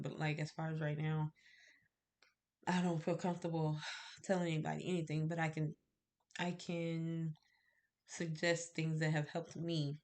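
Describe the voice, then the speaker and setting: A woman with a stuffy cold, sounding nasal, chatting casually to camera about her candle-making and rambling with fillers and little laughs. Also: deep voice. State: stuffy, congested.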